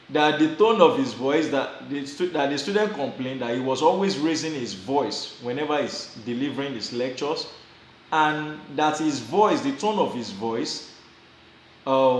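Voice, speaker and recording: A man's voice: medium pitch, echoey room, rough recording. A Nigerian man giving casual advice to his viewers, often repeating and restarting his phrases with small chuckles. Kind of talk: casual advice talk to camera.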